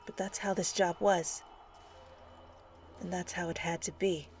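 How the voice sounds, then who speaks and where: A woman's voice: fairly low pitch, flat delivery, a little slow, slight background noise. A woman hosting a fan fiction channel, moving between story narration and playful casual chat. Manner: goofy, playful, slangy in asides.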